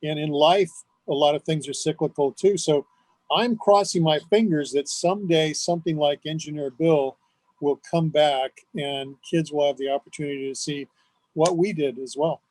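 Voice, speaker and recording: Enthusiastic man, slightly high voice, dry sound. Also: steady tone, normal speed, darkish tone, no room sound, poor audio. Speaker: guest on a radio history show. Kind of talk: conversational reminiscing.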